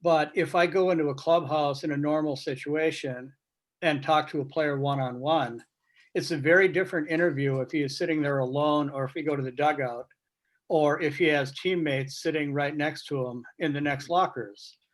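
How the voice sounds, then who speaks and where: Higher-voiced man explaining on a panel. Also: steady tone, moderate pace, rough recording. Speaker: sportswriter and interviewer, guest speaker. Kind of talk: informal panel discussion. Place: virtual meeting on video call. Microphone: video call.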